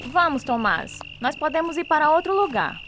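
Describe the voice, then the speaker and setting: A woman's voice: sits high, lively intonation, normal speed, noisy background. A woman playing a worried young girlfriend, pleading and reproachful, in a radio drama.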